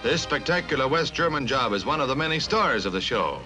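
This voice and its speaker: A man's voice: mid-range voice, big pitch swings, brisk, music in the background, rough recording. A man with an American accent reading scripted newsreel narration in a dramatic announcer style, on a vintage 1950s soundtrack.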